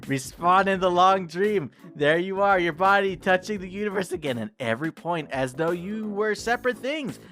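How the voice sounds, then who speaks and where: A man's voice: sits high, lively intonation, normal speed, few pauses, a bit bright. A man voicing an otherworldly being of the universe, narrating to a player in a theatrical, solemn read-along style over music. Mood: solemn, soothing. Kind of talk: dramatic read-along of a scripted dialogue. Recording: music behind the voice.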